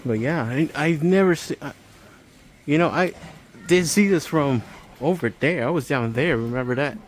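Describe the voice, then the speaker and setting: Man vlogging casually over store noise. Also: fairly high pitch. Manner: halting, absorbed enthusiast's narration. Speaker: amateur vlogger. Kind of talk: casual walk-through vlog commentary. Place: large home improvement store, indoors. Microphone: handheld phone while walking. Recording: store ambience, noticeable background noise.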